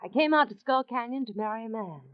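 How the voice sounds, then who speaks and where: A woman's voice: fairly high pitch, animated, fast talker, smooth, dry recording, lightly acted. An actress playing a tough, frank, plucky American woman in an old radio drama. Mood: rueful, confiding. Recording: dull old radio recording.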